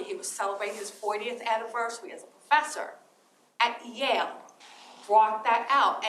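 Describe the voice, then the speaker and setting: A woman's voice: a little high, bright, forceful tone. A woman from Trinidad asking a question from the audience, hesitant, with many "um"s. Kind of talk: question from the floor. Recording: distant roomy audience pickup.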